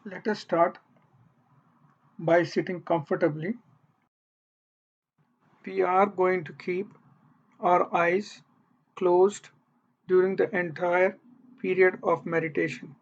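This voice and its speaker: A meditation guide speaking gently and deliberately, leaving long pauses between short phrases. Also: mid-range voice, steady tone. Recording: dull, muffled lo-fi audio.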